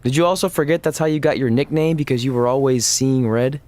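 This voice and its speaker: A woman's voice: rumbling low, quick pace, a little mellow. An actress playing a teasing, level-headed young woman wrestler in a video game cutscene.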